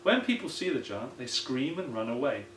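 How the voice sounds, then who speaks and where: A man's voice: mid-range voice, big pitch swings, normal speed, fairly bright tone. A man voicing a kindly old grandad who earnestly tells tall tales in a children's picture book. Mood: knowing. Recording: roomy echo, some background noise.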